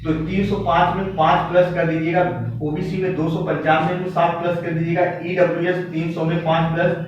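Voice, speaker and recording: A man's voice: fairly high pitch, level tone, a little fast. A man giving an informal lesson to students as a coaching teacher, emphatic and repetitive, speaking directly to his listeners. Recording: very echoey room, distant mic, dull, faint noise.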